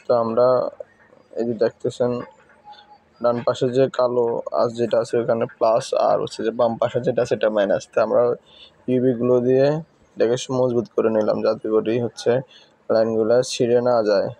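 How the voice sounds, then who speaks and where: Flat-toned Bangladeshi man explaining repairs. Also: medium pitch, fairly quick, vocal fry, pauses often, no echo. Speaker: phone repair technician giving a tutorial. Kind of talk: informal step-by-step tutorial narration.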